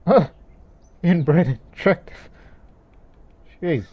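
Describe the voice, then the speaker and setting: A man's voice: a little high, lively intonation, drawn-out words, breathy edge, highs cut off. Man musing through a strategy game. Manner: musing, laughing asides, talks as his character. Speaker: game let's player. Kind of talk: casual gameplay commentary.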